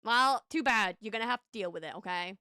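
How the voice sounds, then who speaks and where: A woman's voice: medium pitch, fast, pushed and bright, clear, resonant tone, dry recording, clean studio sound. A young woman from the UK with a light British accent that leans a bit American, chatting casually and playfully with her stream viewers. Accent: light British, partly American-sounding. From United Kingdom. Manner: bubbly, giggly, playfully sassy. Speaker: streamer, VTuber artist. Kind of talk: casual live-stream chat reading viewer messages.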